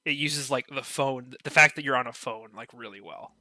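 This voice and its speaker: Man with a bright, forceful voice. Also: mid-range voice, moderate pace, no echo. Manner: rambling, peppered with filler "like". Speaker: podcast panelist. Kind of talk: casual discussion.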